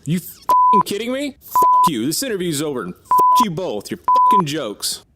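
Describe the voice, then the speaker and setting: Touchy writer, sarcastic, defensive, extremely animated pitch, radio play, noisy background. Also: male voice, moderate pace. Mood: enraged. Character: prickly, defensive, foul-mouthed male novelist under pressure. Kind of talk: audio drama dialogue.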